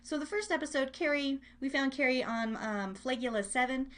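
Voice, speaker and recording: A woman's voice: high voice, moderate pace, fairly bright tone. A woman hosting an internet radio podcast, chatting casually to listeners in a rambling, self-deprecating way. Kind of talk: casual live chat to listeners.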